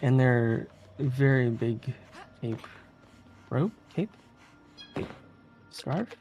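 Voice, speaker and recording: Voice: medium pitch, drawn-out words, darkish tone, dull audio, soft background music. A speedrunner giving casual, rambling commentary on a live stream, often chuckling. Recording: noisy live stream audio.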